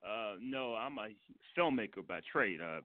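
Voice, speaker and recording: An American man with a fairly low voice answers interview questions in a measured, polite way, pausing as he goes. The sound is dry and squeezed through a telephone line. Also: lively intonation, no room sound. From Washington, D.C., United States. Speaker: guest film and stage director. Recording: tinny narrow phone line.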